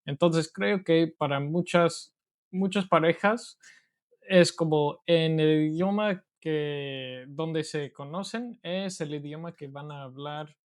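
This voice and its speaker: Slow, careful American man speaking Spanish. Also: a little high. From United States. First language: English. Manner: careful, deliberate learner speech with pauses. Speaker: Spanish learner, podcast co-host. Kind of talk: casual conversational podcast chat.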